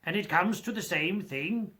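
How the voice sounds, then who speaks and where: A man's voice: high-pitched, measured pace, sharp tone. Man playing Scrooge, a humbled, earnest old miser. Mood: earnest, challenging.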